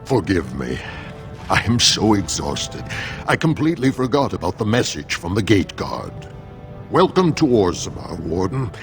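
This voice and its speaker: Weary dwarf steward, wildly varying pitch. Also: male voice, very animated, normal speed, gravelly, soft background music, heavily acted. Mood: weary, apologetic. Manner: formal, commanding. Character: weary, exasperated dwarf steward of a noble assembly. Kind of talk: dramatic fantasy game acting.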